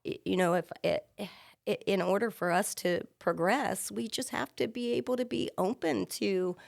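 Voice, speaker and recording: A woman's voice: mid-range voice, normal speed, gravelly, dry recording, clean studio sound. A woman in her fifties, a teacher, speaking frankly and plainly as a guest in a casual interview. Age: 50s.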